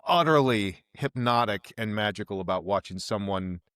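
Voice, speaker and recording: A man's voice: fairly low pitch, animated, normal speed, fairly bright tone, no echo. A man hosting a casual interview, speaking in an engaged, enthusiastic way and often checking in with the guest. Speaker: podcast host, interviewer.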